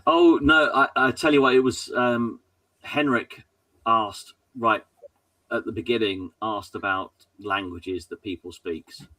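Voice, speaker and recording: A man improvising narration as a tabletop game master in a halting, hesitant way, often pausing mid-sentence. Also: mid-range voice, bright, forceful tone, dry recording, far from the mic, rough recording.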